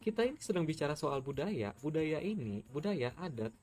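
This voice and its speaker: A man's voice: a little high, sing-song, a little fast, darkish tone, faint music behind. A man from West Sumatra firmly scolding and challenging a critic in a heated monologue. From West Sumatra, Indonesia. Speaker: TikTok creator answering a critic.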